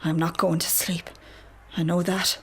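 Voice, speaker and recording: A woman's voice: fairly low pitch, flat delivery, measured pace, fairly bright tone, breathy edge, exaggerated voice. A woman playing a fussing, anxious, protective Irish mother in a naturalistic radio drama. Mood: anxious, insistent.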